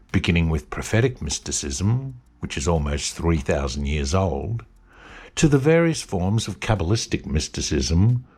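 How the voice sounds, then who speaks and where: A man reads a prepared lecture in a measured, deliberate way. His voice sits low and has a husky, gravelly texture. His intonation rises and falls with plenty of movement. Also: darkish tone. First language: English. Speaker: podcast host. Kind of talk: scripted narration.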